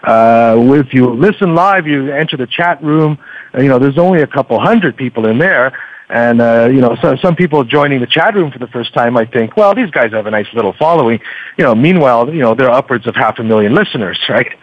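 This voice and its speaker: A man who is a podcaster, chatting casually as an interview guest in a halting, affable way. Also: mid-range voice, lively intonation, no room sound. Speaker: podcaster guest plugging his show. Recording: muffled internet radio connection.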